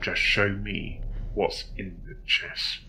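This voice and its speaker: Guy doing stern detective pony in a fanfic audio drama, slow n deliberate, lowish. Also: huge pitch swings, pushed and bright, slight background noise, heavily acted, low-quality recording. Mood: firm, insistent. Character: stern male pony detective interrogating suspects, commanding, deliberate. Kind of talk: dramatic fan fiction audio reading.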